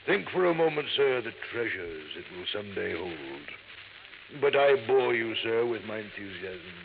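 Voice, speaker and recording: A man's voice: fairly low pitch, expressive, normal speed, creaky. An actor playing a pompous, courtly businessman in a 1950s radio drama, speaking in a florid, formal way. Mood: rapturous, florid. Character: courtly, pompous male vault company owner. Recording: tinny, noisy old radio recording.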